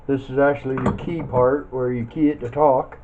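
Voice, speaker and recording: A man's voice: medium pitch, flat delivery, moderate pace. A plainspoken, folksy man talking casually through a hands-on scrapping tutorial, muttering to himself as he works. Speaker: scrap metal hobbyist demonstrating teardown. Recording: muffled phone-like audio, loud background noise.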